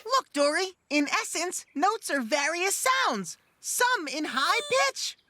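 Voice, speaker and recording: A woman's voice: very high-pitched, big pitch swings, slow pace, pushed and bright, a little hiss or hum, heavily acted. A woman voicing a chatty little child dragon in a children's cartoon, explaining things with playful self-assurance over music. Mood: instructive, upbeat. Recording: background music under the voice.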